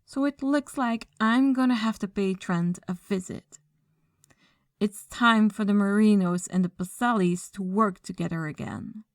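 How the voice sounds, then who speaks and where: Woman acting a slow-spoken, commanding female mafia boss. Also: medium pitch, no room sound, studio quality, natural voice. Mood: composed, decisive. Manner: slow, deliberate, authoritative. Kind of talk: ASMR audio roleplay acting.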